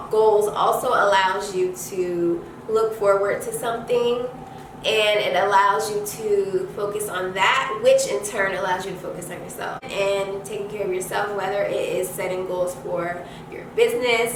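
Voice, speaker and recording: Young woman, congested, casual motivational advice, halting conversational delivery, mid-pitched, distant mic, roomy echo. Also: fairly bright tone. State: congested from allergies. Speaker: host of a self-care advice channel. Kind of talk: casual motivational talk to viewers. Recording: echoey room, distant mic, noisy background.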